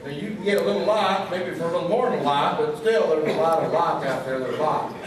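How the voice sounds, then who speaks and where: A man's voice: on the high side, fast talker, a crowd in the background, lightly acted. A man playing a daft, folksy small-town sidekick in a comic radio double act, rambling on obtusely. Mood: amiably muddled. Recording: distant, very echoey stage, audience behind.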